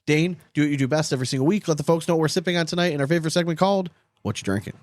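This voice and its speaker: A man's voice: fairly high pitch, lively intonation, racing, no echo. A man co-hosting a podcast in casual sports banter, with slangy, profane, bro-ish talk. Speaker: podcast host.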